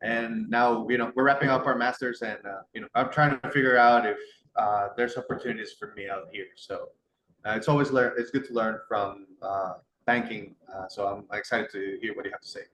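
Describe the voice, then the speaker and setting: A man's voice: fairly low pitch, fairly even intonation, fairly quick, a bit bright. A man from Costa Rica, an MBA student, introducing himself with polite thanks. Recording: echoey room with faint noise.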